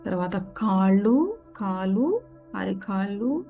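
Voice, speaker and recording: A woman gently guides a relaxation meditation, giving unhurried step-by-step instructions. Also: mid-range voice, sing-song, gravelly. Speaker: yoga nidra guide. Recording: music behind the voice. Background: background music.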